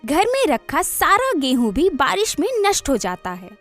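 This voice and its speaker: A woman's voice: sits high, huge pitch swings, normal speed, soft background music. A woman reading a scripted story as narrator.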